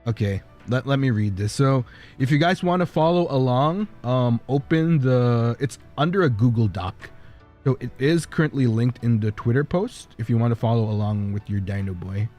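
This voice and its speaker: A man's voice: mid-range voice, moderate pace, dark tone. A male streamer chatting casually, rambling with lots of fillers and frequent laughter. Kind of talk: casual livestream chat. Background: faint background music.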